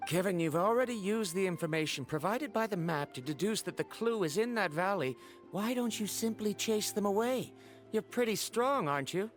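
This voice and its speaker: A man's voice: high voice, lively intonation, normal speed, soft background music. A man voicing a proud, studious young male scholar in a video game, speaking in a formal, pedantic and blunt way. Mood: challenging.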